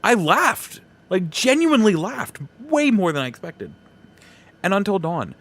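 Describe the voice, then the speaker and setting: Animated man's voice, swinging in pitch. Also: unhurried. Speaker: podcast host. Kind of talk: casual solo opinion commentary.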